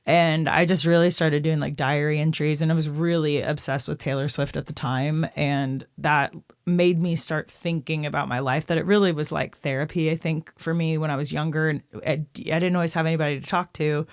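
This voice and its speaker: A woman in her thirties from Texas, a guest musician telling her story in a casual podcast interview, chatty and lively, often laughing. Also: deep voice, a little fast, clear, resonant tone, no room sound, studio quality. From Austin, Texas. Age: 30s.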